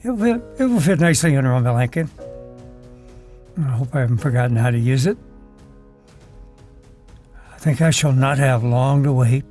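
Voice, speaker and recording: A man acting a scheming, vengeful wheelchair user who narrates his side of a radio drama in a measured, brooding way. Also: medium pitch, lively intonation, a little mellow, soft background music, natural voice. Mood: brooding, calculating.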